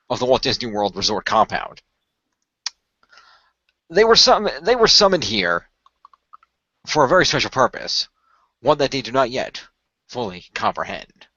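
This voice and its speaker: A man's voice: medium pitch, pushed and bright, no echo, muffled recording. Hesitant male narrator, dry recording. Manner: hesitant, improvised, stumbling over phrases. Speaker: host and narrator. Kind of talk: informal radio play narration.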